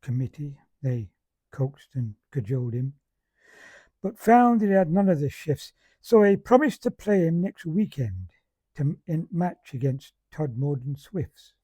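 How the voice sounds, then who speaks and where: A man recites comic verse like an amateur monologist. His voice sits a little high yet is very dark and soft-edged, with a husky grain. The delivery is measured storytelling in broad dialect, captured close and dry. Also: big pitch swings, no room sound.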